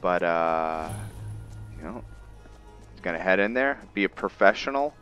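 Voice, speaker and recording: A man with a deep voice gives casual commentary on a roleplay game. The recording is a little dull, and game music sits faintly behind him. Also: lively intonation, measured pace, fairly bright tone. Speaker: gaming video host.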